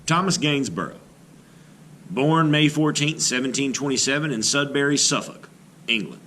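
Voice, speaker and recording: A man reading aloud an art lesson for children as a teacher, with expressive, clearly phrased delivery. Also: medium pitch, normal speed, slight background noise.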